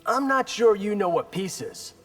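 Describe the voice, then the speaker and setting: A man's voice: high voice, big pitch swings, normal speed, a bit bright, soft background music, full character voice. A man playing a defiant young martial artist hero in a video game, dry and sarcastic. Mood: wry, sarcastic.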